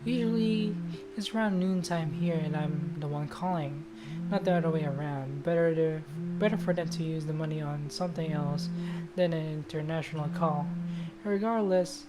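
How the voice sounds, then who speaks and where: A woman's voice: low-pitched, normal speed. A woman game streamer reading a visual novel aloud in a casual, sweary manner, with guitar music behind her. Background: loud guitar music.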